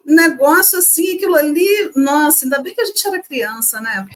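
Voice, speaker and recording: Lively high-voiced Brazilian woman. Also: fairly quick. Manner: lively storyteller, laughs easily. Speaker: co-host. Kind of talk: casual chat.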